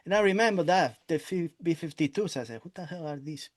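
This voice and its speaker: A male interview host, a native Spanish speaker, with a voice pitched a little higher than most men. He talks in a chatty, easygoing way, with loose grammar and plenty of small laughs. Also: animated, a little fast. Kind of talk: casual interview questions.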